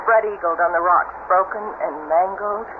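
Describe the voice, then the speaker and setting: An actress playing a sardonic, knowing sister-in-law with a macabre streak in a 1970s radio drama, delivering lines in an arch, dramatic way. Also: female voice, fairly low pitch, normal speed, natural voice. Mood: ominous, macabre. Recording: very noisy, tinny old radio broadcast recording.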